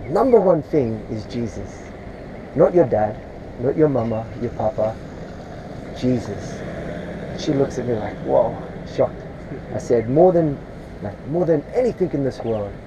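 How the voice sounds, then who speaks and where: A man preaching scripture outdoors to a passer-by, earnest and quick to pray in tongues, recorded on a chest-worn camera. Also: medium pitch, background noise. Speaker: street preacher. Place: outdoors on a street beside a shopping centre car park. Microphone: chest-worn action camera.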